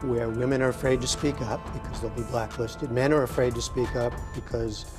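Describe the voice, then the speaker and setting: Mid-pitched man questioning over music. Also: fairly even intonation, a little fast.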